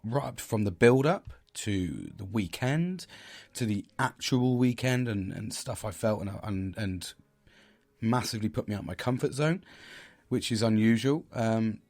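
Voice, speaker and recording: Husky British man, hesitant and low. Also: lively intonation, unhurried, darkish tone. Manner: hesitant, many fillers and restarts. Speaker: podcast host. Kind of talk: casual solo podcast talk.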